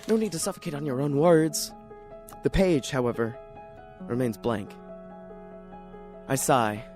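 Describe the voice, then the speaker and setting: A man playing a lonely, neurotic, paranoid writer in an introspective, theatrical radio drama monologue. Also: high voice.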